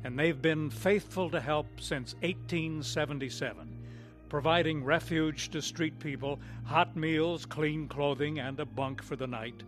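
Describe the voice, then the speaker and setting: Solemn male radio announcer, slightly high-pitched. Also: soft background music. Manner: measured, solemn, theatrical. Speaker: narrator and announcer. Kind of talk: scripted dramatic radio narration, old-time radio manner.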